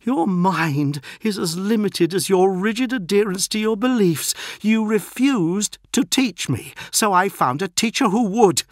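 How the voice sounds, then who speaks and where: Man playing a high-pitched, defiant young zealot. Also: animated, measured pace, few pauses, no echo, clean studio sound. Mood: mocking. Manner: insolent, taunting. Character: defiant, arrogant young male warrior acolyte, fanatical and mocking.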